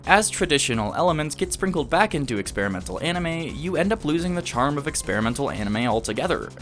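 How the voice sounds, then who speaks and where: A man's voice: on the high side, fairly bright tone. A man in his early twenties narrating a scripted video essay as an opinionated, enthusiastic fan. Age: early 20s.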